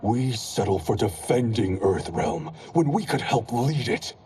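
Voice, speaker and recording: A man's voice: medium pitch, animated, unhurried, mellow, a little hiss or hum, lightly acted. An actor playing a cold, proud male clan grand master in a game cutscene. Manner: cold, contemptuous. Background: orchestral game music.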